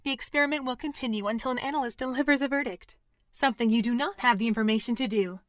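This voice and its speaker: Woman acting a formal, higher-voiced detached overseer. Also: no room sound, studio quality, natural voice. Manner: formal, measured, no contractions. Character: formal, detached female facility overseer, coldly logical. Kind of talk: scripted audio drama.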